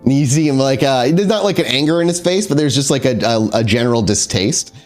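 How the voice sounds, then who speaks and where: A man narrating as the storyteller of a tabletop role-playing game, in a loose, animated manner with casual swearing. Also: mid-range voice, brisk, faint music behind.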